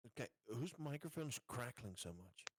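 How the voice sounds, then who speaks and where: A man playing a tabletop game, talking through options casually in a halting, deliberating way. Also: mid-range voice, expressive, a little hiss or hum.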